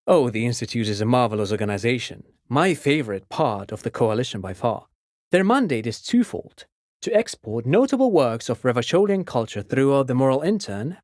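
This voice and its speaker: A man's voice: medium pitch, a little mellow, dry recording, clean studio sound, natural voice. A male actor playing a polite, enthusiastic, pedantic intellectual in a video game, explaining things in an erudite, courteous way. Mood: enthusiastic, lecturing. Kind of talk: video game dialogue acting.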